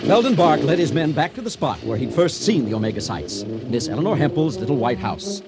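An actor playing an earnest storyteller, narrating a radio drama in a dramatic, mock-serious manner. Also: male voice, medium pitch, lively intonation, a little fast, over loud music, natural voice.

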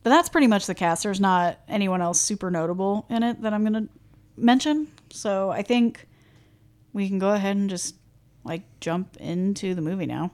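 A woman from the American Midwest co-hosting a casual movie podcast, chatty and quick to laugh. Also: medium pitch, a little mellow. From Midwestern United States. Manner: chatty, laughs often, self-deprecating. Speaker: podcast co-host. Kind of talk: casual chat.

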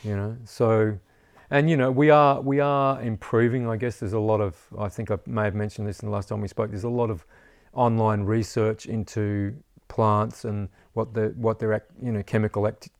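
A man's voice: fairly low pitch, fairly even intonation, normal speed, clean tone, no room sound, clean studio sound. A man, an herbalist teacher chatting casually in an interview, rambling and saying "you know" often.

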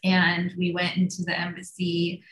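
A woman's voice: lowish voice, completely flat delivery, normal speed, fairly bright tone. An Iranian-born woman telling her life story as a podcast guest, chatty and full of fillers and restarts. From Iran. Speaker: guest entrepreneur. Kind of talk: casual storytelling. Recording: roomy echo.

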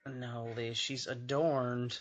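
A man's voice: medium pitch, little pitch movement, takes it slowly, fairly bright tone, dull audio. A man co-hosting a podcast, giving sardonic, weary commentary on a debate. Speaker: podcast co-host. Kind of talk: crude live commentary on a debate.

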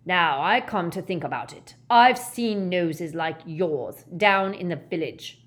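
A woman's voice: lowish voice, animated, unhurried. A woman voicing an aggressive, suspicious elderly man with curt, rustic speech in a storybook reading. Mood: surly, scornful. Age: older. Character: gruff, aggressive, suspicious elderly male head of a squalid household.

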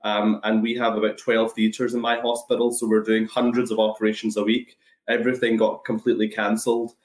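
A man with a Scottish accent explaining things thoughtfully on a webinar, with measured, hesitant phrasing. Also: fairly low pitch, completely flat delivery. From Scotland. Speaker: guest, anaesthesiology and intensive care resident. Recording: echoey webinar call.

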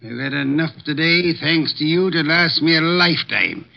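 A pompous, formal detective. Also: male voice, a little high, normal speed, creaky. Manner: formal, pedantic, commanding. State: short of breath. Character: pompous stout male detective, precise and commanding. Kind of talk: 1950s radio drama acting. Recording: muffled old radio broadcast.

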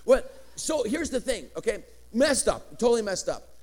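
A middle-aged man from Chicago whose first language was Greek, preaching a lively sermon with swinging inflections and joking asides. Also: high-pitched, moderate pace. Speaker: pastor.

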